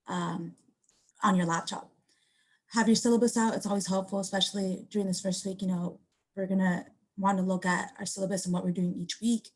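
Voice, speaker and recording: A woman's voice: medium pitch, rough recording. A woman, a native Spanish speaker, giving study tips as a Spanish tutor in an online workshop, in a plain, instructive way.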